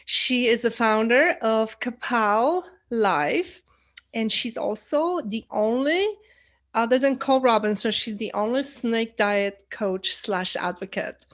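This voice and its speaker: A woman's voice: fairly high pitch, takes it slowly. A young woman hosting a podcast from home into a desk mic, upbeat and friendly. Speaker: podcast host. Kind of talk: podcast interview. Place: home room. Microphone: desk microphone.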